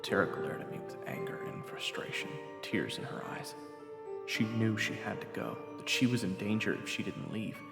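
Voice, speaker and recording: A man's voice: fairly low pitch, animated, normal speed, creaky, roomy sound, in a large hall, music in the background. A man narrating a dramatic story in the first person as part of an audio roleplay.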